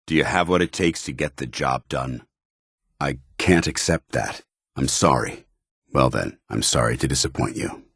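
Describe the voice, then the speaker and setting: Game soldier companion: extremely low male voice, stern, duty-bound, formal military delivery, dry close recording. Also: breathy edge, dry recording, heavily acted. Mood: stern, urgent. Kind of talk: video game companion dialogue.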